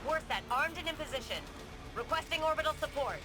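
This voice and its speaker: A woman's voice: sits high, animated, a little fast, loud music behind the voice. An actress playing a determined, defiant female engineer who argues insistently in a game. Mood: focused. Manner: insistent, technical. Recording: game audio over loud background noise.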